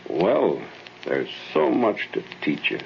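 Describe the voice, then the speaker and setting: A muffled, faintly noisy vintage radio recording of an aging man with a creaky, low voice. a fatherly, troubled scientist mentor. His delivery is theatrical and earnest, in 1940s radio drama fashion. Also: sing-song, slow pace, exaggerated voice. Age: older.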